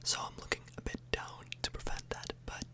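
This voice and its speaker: A woman speaks entirely in a soft, breathy whisper. She rambles casually in an ASMR style, hesitating and correcting herself as she chats to her viewers. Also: a little fast. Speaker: ASMR YouTuber, host. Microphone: microphone a little further away than usual.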